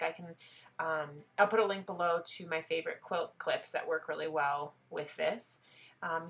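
A woman's voice: lowish voice, a bit bright. A woman with a head cold explaining a craft project step by step in a chatty, self-deprecating tutorial voice.

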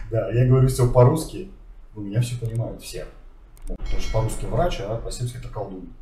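A man's voice: lowish voice, brisk, dark tone. A man hosting a travel vlog, chatting casually and telling stories while cooking in a home kitchen. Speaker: vlog host. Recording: roomy kitchen from far off, faint hiss.

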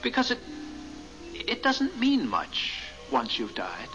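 A man playing the reluctant, gentle ghost of a dead husband, hesitant and weary, in 1970s radio drama style. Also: high voice, wildly swooping pitch, takes it slowly, highs cut off, faint music behind, heavily acted. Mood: wry, uneasy.

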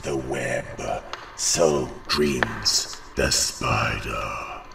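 A gravelly whispering spider entity. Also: male voice, extremely low pitch, drawn-out words, background noise, voice pushed down, exaggerated voice. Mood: menacing. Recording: distant, echoey, over loud music.

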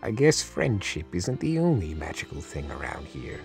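A man's voice: deep voice, sing-song, normal speed, creaky, faint music behind, heavily acted. A man voicing a wry, drawling male chaos creature and husband in a fan-made cartoon radio play.

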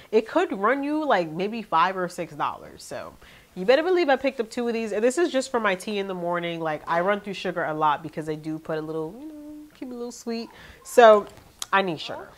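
A young woman with a nasal, congested voice chatting to her viewers about her shopping haul in her living room. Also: medium pitch. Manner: chatty, animated, talks to viewers directly. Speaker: vlogger. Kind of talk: casual haul video chat.

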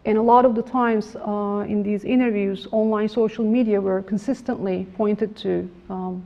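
Woman giving a lecture, kinda gravelly voice, stops and restarts a lot, muffled room recording. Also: mid-range voice, steady tone. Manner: measured, formal, frequent restarts and fillers. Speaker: academic lecturer. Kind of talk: academic lecture presentation. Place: lecture room with projector screen. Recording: slightly dull lo-fi room recording.

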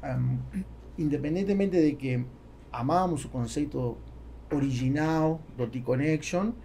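A man's voice: a little high, measured pace, gravelly, dark tone, a little hiss or hum. A middle-aged man, a native Spanish speaker with a Spanish accent in Portuguese, answering interview questions as a podcast guest and often searching for words. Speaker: podcast guest, business executive. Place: small foam-treated podcast studio. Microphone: close boom-arm studio microphone.

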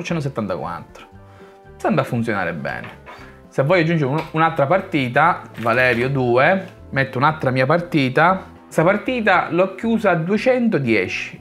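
A man's voice: on the high side, a bit bright. A man in his thirties giving a casual, informal coding tutorial as a channel host, explaining step by step. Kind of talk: casual screencast tutorial narration. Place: small home room, indoors. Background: faint background music.

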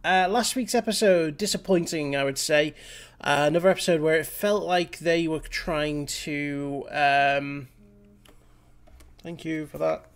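A man's voice: a little high, a little slow, smooth. A young man with a slightly scratchy voice from a cold, giving opinionated reaction commentary to camera over a headset mic in a small home room. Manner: opinionated, talks straight to camera with fillers. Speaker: reaction channel host.

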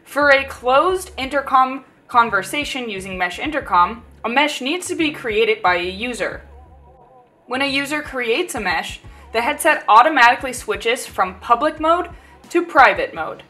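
A woman's voice: high-pitched, lively intonation, moderate pace, bright, forceful tone. A woman reading a scripted instructional narration for a product tutorial. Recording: music bed behind voice. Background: background music.

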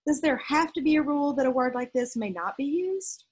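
A woman's voice: sits high, lively intonation, a little fast, a little mellow, pitched above the performer's own voice. A woman voicing an outspoken, protective mother in a storybook, firm and questioning. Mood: annoyed, challenging.

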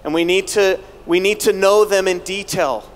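Middle-aged male keynote speaker, high smooth voice, deliberate delivery, handheld mic, long arena reverb. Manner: rallying, asks the audience rhetorical questions. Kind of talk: motivational keynote speech. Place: large arena stage. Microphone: handheld microphone.

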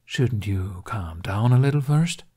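Man playing a dry, cynical dragon companion, lowish voice. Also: animated, a little slow, warm tone, breathy edge. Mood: dry, unbothered. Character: wry, cynical, unbothered male dragon companion.

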